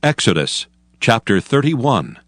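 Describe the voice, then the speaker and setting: A man narrating scripture in a measured, formal, scripted style for an audio drama. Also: medium pitch, very animated, fairly bright tone.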